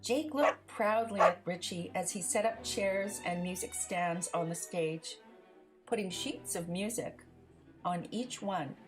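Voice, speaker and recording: A woman reading a children's picture book aloud as a storyteller, in an expressive, deliberate way. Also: lowish voice, music in the background, poor audio.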